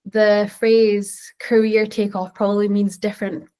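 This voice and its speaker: Brief: Scottish woman in her twenties, mid-pitched, earnest and reflective panel speaker. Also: little pitch movement, a little slow, warm tone. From Scotland. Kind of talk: online panel answer.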